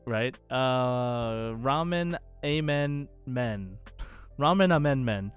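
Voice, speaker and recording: A man's voice: medium pitch, lively intonation, drawn-out words, clean tone, soft background music. A man co-hosting a casual gaming podcast, conversational and enthusiastic as he chats and reads out listener comments. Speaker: podcast co-host.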